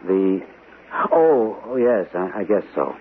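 An actor playing a weary, apologetic captain in a 1970s radio drama, speaking haltingly. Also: male voice, sits low, lively intonation. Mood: weary, hesitant. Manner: hesitant, halting. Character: weary, apologetic male captain recounting an ordeal. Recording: noisy, tinny old radio broadcast recording.

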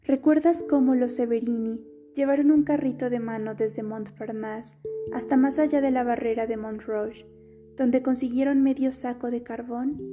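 A woman reading a literary letter aloud in a soft, slow, wistful way. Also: a little high. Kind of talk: scripted audiobook reading.

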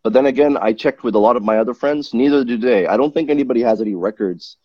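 A man's voice: medium pitch, fast, breathy edge, no room sound, muffled recording. A man from Malaysia, a guest in a casual interview, talking at length with many restarts and self-corrections. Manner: rambling, self-correcting, animated.

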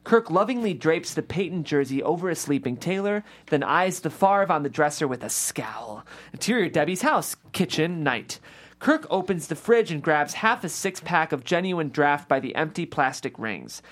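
A woman's voice: low-pitched, big pitch swings, moderate pace. A woman hosting and reading aloud, as at a script table read. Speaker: host and script reader. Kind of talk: reading screenplay action aloud, then interviewing the writer.

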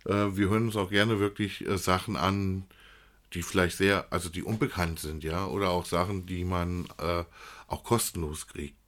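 A man's voice: deep voice, steady tone, normal speed, a bit bright. A man co-hosting a casual podcast, rambling and speaking straight to the listeners. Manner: rambling, addresses listeners directly. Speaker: podcast co-host. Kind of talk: casual chat about audio dramas.

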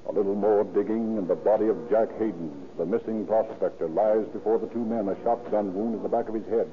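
A man's voice: fairly low pitch, fairly even intonation, a little hiss or hum. Dramatic old-time radio narrator, male. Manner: dramatic, measured, formal. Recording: tinny old radio recording.

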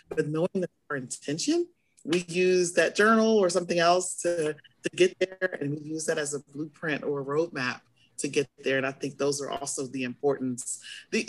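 A hoarse middle-aged woman co-hosting a podcast in casual chat, animated as she acts out her own past reactions. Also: low-pitched. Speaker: podcast co-host.

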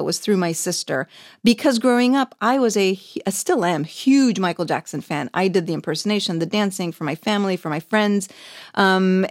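A woman's voice: mid-range voice, dry recording, studio quality. A woman hosting an interview, talking eagerly and chattily to her guest. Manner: enthusiastic, chatty. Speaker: host. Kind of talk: casual interview.

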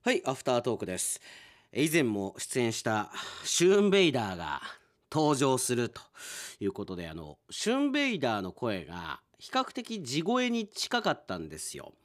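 A male radio announcer presenting in a chatty, playful, animated conversational way. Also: mid-range voice, moderate pace, no room sound. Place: radio studio. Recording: studio voice over faint music.

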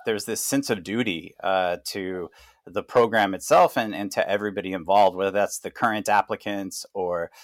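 A man hosting a podcast interview, talking in a measured way with frequent "uh" fillers. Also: deep voice, dry recording, clean studio sound. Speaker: podcast host, founder of consulting firm. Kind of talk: casual interview.

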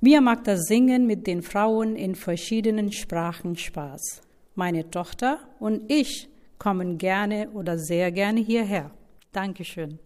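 A woman's voice: mid-range voice, slow pace, darkish tone. Careful woman with an Indian accent.

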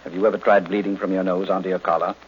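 A low-voiced, grumpy genius detective played by a man in an old-time radio mystery. He speaks in formal, curt, pompous sentences. The vintage recording is dull, with some background noise. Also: level tone, rapid-fire, lightly acted. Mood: lecturing. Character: brilliant, grumpy, pompous male detective boss.

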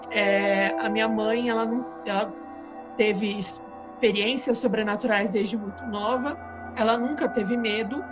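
A fairly high-voiced woman hosts a relaxed live chat and talks in a loose, storytelling way. She often trips and restarts mid-sentence. Music plays clearly behind her. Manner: conversational, hesitant restarts, storytelling. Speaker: channel host. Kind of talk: casual live chat with supporters.

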